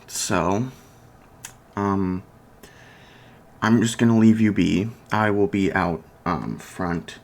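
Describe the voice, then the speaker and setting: A soft-spoken ASMR performer in a repair roleplay as a computer technician, murmuring haltingly while absorbed in the task. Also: deep voice. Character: unhurried computer technician repairing a robotic client. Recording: loud fan noise, home recording. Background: PC fan, keyboard typing, clicks, creaking chair.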